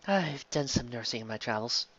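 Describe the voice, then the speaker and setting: A woman voicing a calm, composed female cartoon pirate who helps as a nurse, speaking softly. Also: a bass voice, normal speed, no room sound, lo-fi sound, heavily acted. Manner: soft, composed.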